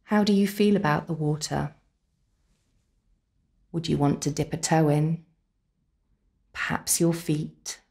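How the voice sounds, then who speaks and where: Slow, low-voiced woman guiding relaxation. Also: warm tone. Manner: unhurried, soothing, deliberate pauses. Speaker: complementary therapist leading guided relaxation. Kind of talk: guided visualisation, scripted narration.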